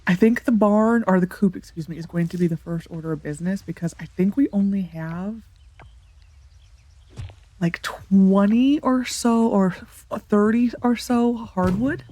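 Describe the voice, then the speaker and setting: A woman's voice: mid-range voice, measured pace, mellow. A woman with a congested, nasal voice, talking casually and thinking aloud as she plays a farming game, with many ums and restarts. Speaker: let's play gamer. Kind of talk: casual gameplay commentary.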